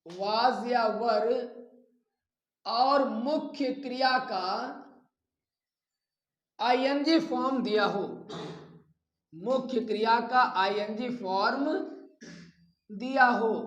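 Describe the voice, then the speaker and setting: Male grammar teacher, unhurried step-by-step delivery with deliberate repetition, recorded in a reverberant room. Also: very high-pitched, fairly bright tone, highs cut off. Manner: deliberate, repeats phrases, addresses students directly. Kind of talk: classroom lesson, explaining rules step by step. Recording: echoey, roomy sound.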